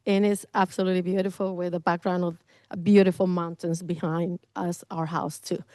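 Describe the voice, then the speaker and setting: A woman's voice: lowish voice, flat delivery, normal speed, warm tone, no room sound, clean studio sound. A middle-aged woman from Costa Rica with a Costa Rican Spanish accent, a realtor speaking as guest at a business meeting, enthusiastic and effusive. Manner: enthusiastic, effusive, laughs between phrases.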